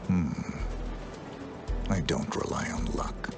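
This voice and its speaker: Stoic hitman in a game, super deep voice, deadpan, few words, music behind. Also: slow, creaky, heavily acted. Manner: deadpan, laconic. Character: cold, stoic male professional assassin.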